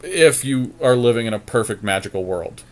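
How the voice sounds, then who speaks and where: A man's voice: medium pitch, expressive, a little fast. A man co-hosting a gaming podcast, talking casually but arguing his points in an analytical, matter-of-fact way. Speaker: podcast co-host. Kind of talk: casual gaming commentary with a friend.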